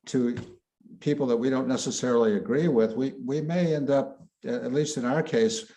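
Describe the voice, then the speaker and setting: A man, a filmmaker, explaining his film in a panel discussion, measured and searching for words. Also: mid-range voice, steady tone, dark tone.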